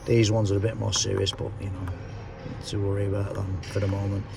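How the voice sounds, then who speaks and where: A middle-aged man, a car mechanic, talking casually while he works through a fault, his voice hoarse and fading, with his phrases trailing off quietly. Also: fairly low pitch, level tone, a little fast. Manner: chatty, thinks aloud, trails off quietly at the end of phrases. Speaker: car mechanic, auto electrician. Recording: noisy workshop with vehicle sounds.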